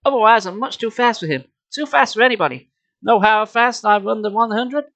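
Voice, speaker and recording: Storybook hero role: a cocky male mutant gazelle put on by a reader, high-pitched, loud and grandly boastful. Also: brisk, dull audio, close to an everyday voice. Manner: boastful, declamatory.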